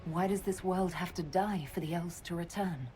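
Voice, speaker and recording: A woman's voice: fairly low pitch, steady tone, moderate pace, dark tone, lightly acted. An actress playing a female elven hero in a video game, a wounded lover who questions earnestly and directly. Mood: concerned. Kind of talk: dramatic video game dialogue. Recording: music behind the voice. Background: music.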